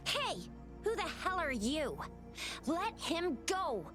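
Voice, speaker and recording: Very high-pitched, urgent woman guard. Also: big pitch swings, slow, music in the background, full character voice. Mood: alarmed, confrontational. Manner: urgent, confrontational. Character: alarmed, aggressive guard shouting orders. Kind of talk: video game voice acting.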